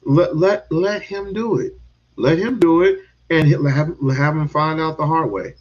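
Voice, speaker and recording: A man's voice: fairly high pitch, moderate pace, muffled recording. A man hosting a casual online talk show, talking profanely and laughing often. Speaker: show host.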